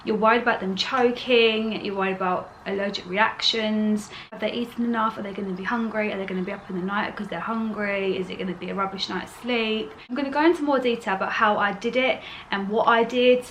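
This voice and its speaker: A woman's voice: medium pitch, steady tone, brisk, few pauses, fairly bright tone, a little hiss or hum, rough recording. A young woman with a slightly sore throat, giving earnest, chatty parenting advice in a casual vlog and often repeating herself. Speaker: parenting vlogger.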